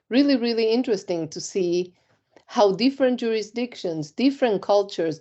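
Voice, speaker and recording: A middle-aged woman from Argentina, interviewed about her career, speaks in a measured, reflective way with frequent ums. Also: on the low side, warm tone, lo-fi sound. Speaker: compliance executive, interview guest.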